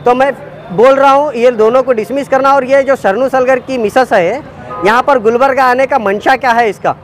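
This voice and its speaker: A man's voice: very high voice, fairly even intonation, moderate pace, faint music behind. A man with a Deccani accent gives an indignant, accusatory protest statement to the press, hammering his demands repeatedly. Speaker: activist spokesman.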